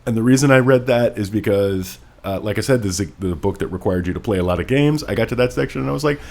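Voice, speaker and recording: A man's voice: lowish voice, fast, creaky, a little mellow. A man co-hosting a podcast, moving between casual wry comments about books and dramatic read-alouds. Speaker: podcast co-host.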